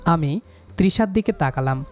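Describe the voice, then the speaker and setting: A man's voice: on the high side, expressive, normal speed, faint music behind. A young man narrating a scripted first-person love story in a dramatic, sentimental manner.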